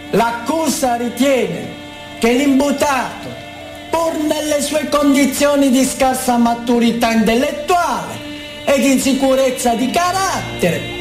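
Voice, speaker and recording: Female narrator, high voice, formal and declamatory, echoey recording with light music underneath. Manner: formal, declamatory, deliberate phrasing. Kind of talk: dramatic scripted narration of court proceedings. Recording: echoey room with music behind.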